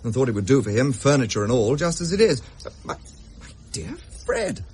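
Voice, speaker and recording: A man's voice: mid-range voice, very animated, moderate pace, darkish tone, husky. A man playing a roguish, jovial Victorian bachelor captain with an English accent and a jaunty, blustering manner in a period drama. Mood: amused, dismissive. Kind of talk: period radio drama acting.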